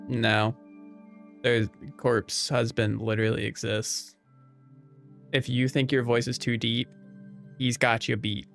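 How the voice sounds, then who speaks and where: A man's voice: mid-range voice, level tone, slow, vocal fry, pauses often. Guy chatting on his livestream, kinda crackly voice, rambly and conversational, faint music behind him. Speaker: livestream host. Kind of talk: casual livestream chat, responding to viewers. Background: soft background music.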